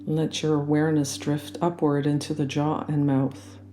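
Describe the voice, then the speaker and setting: Meditation guide for guided relaxation: soothing, unhurried, soft mellow tone, faint music underneath. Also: mid-range voice, little pitch movement. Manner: gentle, soothing, unhurried instruction. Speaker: yoga nidra meditation guide.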